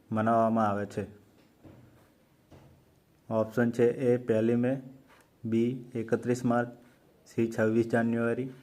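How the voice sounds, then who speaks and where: A man with a Gujarati accent reads exam quiz questions in an even, unvarying tone. He moves through each option slowly and deliberately, at a middle pitch. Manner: slow, measured reading of options. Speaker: quiz host for exam preparation. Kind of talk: reading quiz questions and answers.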